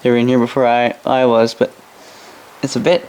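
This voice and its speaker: Flat-toned man muttering as he works. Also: medium pitch, fairly quick, low-quality recording. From Canada. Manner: muttering to himself while working, trailing off. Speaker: hobbyist radio repairer. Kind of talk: casual hands-on commentary. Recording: home workshop noise, radio playing nearby.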